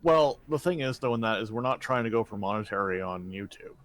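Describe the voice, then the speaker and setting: A man's voice: lowish voice, animated, fairly quick. A man co-hosting a podcast in casual, unscripted conversation, blunt and informal in the way he talks. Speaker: podcast co-host.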